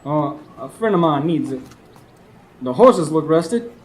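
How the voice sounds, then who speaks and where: A man's voice: a little high, animated, measured pace. An actor playing an earnest, weary young stable hand who narrates his own story in a radio drama. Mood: gentle. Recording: live stage recording, faint background noise.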